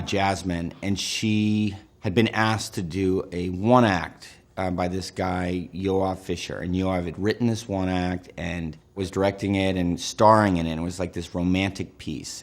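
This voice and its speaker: A man in his thirties from Northern California, telling relaxed stories in an interview with lots of fillers. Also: deep voice. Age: 30s. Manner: relaxed storytelling with frequent fillers. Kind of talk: casual anecdotal interview.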